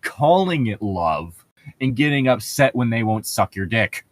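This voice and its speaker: A man's voice: mid-range voice, big pitch swings, a little slow, dry recording. A man hosting a casual, joking online chat, opinionated and swearing freely. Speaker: host of an online channel.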